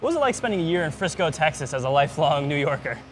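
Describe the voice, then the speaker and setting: A man's voice: on the high side, big pitch swings, very fast, soft background music. A man acting as interviewer in a casual, chatty sports conversation.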